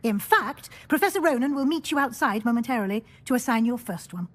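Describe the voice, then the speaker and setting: A brisk magic professor. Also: female voice, on the high side, lively intonation. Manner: crisp, wry, authoritative. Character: brisk, stern older female magic professor. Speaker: deputy headmistress.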